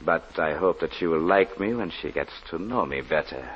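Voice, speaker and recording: A deep-voiced, unctuous, swooping charmer. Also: male voice, animated, moderate pace. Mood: courtly, ingratiating. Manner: courtly, unctuous, florid. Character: suave, flattering male charmer with hidden menace. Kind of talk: 1940s radio drama acting. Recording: dull, noisy old radio recording.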